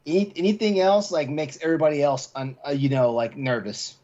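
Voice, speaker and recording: A man's voice: a little high, a little fast, dark tone, lo-fi sound. A man co-hosting a podcast in casual, candid chat, emphatic and repeating phrases as he goes. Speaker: podcast co-host.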